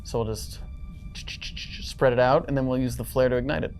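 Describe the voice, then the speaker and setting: A woman's voice: rumbling low, fairly even intonation, brisk, a little mellow, soft background music. A woman talking casually as a player in a tabletop role-playing game.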